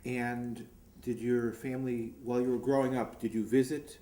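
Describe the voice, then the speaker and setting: A man's voice: medium pitch, level tone, moderate pace, warm tone. Male interviewer in an echoey room. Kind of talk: oral history interview.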